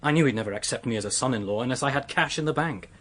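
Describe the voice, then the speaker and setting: A man's voice: mid-range voice, rapid-fire, fairly bright tone, lightly acted. An actor in a radio drama playing a desperate, remorseful young man, speaking in an earnest, pleading way. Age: young adult. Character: desperate, remorseful young male clerk confessing to a killing.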